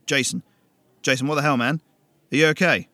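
A man's voice: on the high side, steady tone, a little fast, pushed and bright, no room sound, pitched above the performer's own voice. A man voicing a skeptical young man with a dry, sardonic way of talking. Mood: alarmed. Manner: dry and sardonic, turning urgent.

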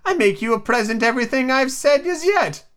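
A woman's voice: high voice, lively intonation, voice pushed up. A woman voicing a pompous, over-agreeable storybook Duchess who draws out her morals emphatically. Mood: pleased. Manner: drawn-out, emphatic pronouncing of morals.

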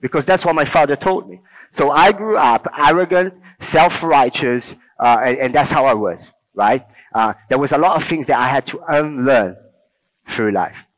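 A man's voice: mid-range voice, animated, normal speed, rough recording. A middle-aged man from Brazil with a Brazilian accent, giving an informal teaching talk to a group and checking in with them often. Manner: conversational, checks in with listeners often. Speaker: speaker at a church talk.

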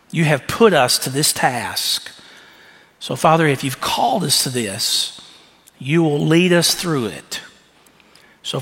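A man's voice: fairly high pitch, fairly bright tone. A man leading a prayer as pastor, earnest and prayerful, then introducing the next speaker. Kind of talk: congregational prayer. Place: church sanctuary. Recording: long church reverb.

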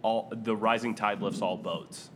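A man's voice: lowish voice, steady tone, a little slow, a bit bright, a little hiss or hum. A man who runs a distillery, talking in an agreeable casual way, chiming in often and trailing off. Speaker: distillery owner, trade group member. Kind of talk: casual chat.

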